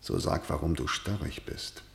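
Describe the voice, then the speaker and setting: A male actor playing a stern, imperious king, declaiming deliberately in a verse radio drama. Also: rumbling low, vocal fry, reverberant, exaggerated voice. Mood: indignant. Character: stern, imperious king interrogating a defiant prisoner.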